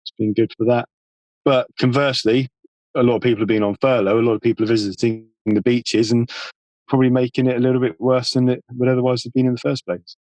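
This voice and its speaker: A man talking casually as an interview guest, explaining things in a matter-of-fact, measured way. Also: medium pitch, flat delivery, darkish tone, no echo, low-quality recording. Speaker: podcast guest, environmental campaigner.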